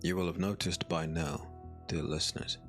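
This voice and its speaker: A man narrating a scripted dark fantasy audiobook in a grave, dramatic storytelling manner. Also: deep voice, fairly even intonation, normal speed, faint music behind.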